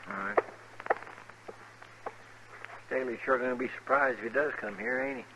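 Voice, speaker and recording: An actor playing an earnest, deferential deputy sidekick with a rural western American accent in a 1950s radio drama, folksy and questioning. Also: male voice, mid-range voice, wildly swooping pitch, normal speed, vocal fry. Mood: earnest, curious. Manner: folksy, plain-spoken, questioning. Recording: noisy muffled vintage radio recording.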